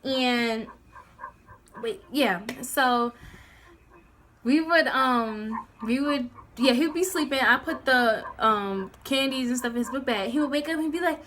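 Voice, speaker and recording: A woman's voice: high voice, a little slow, a bit bright, slight background noise. A young woman telling a casual, comedic story in a sassy, dramatic, slangy way, acting out the dialogue. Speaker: storytelling vlogger. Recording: music playing behind the voice. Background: clear background music.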